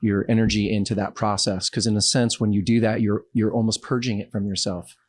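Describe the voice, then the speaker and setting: A man's voice: lowish voice, little pitch movement, fairly quick. A man from Los Angeles with an American accent, talking casually and reflectively as an interview guest. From Los Angeles, United States.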